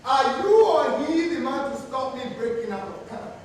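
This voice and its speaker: A proud, mocking Nigerian king, grand and rhetorical, pitched very high. The sound is far from the mic in a reverberant hall, with faint noise. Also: very animated, normal speed. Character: proud, defiant, mocking detained traditional king. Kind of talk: theatrical stage acting. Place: theatre stage, distant mic.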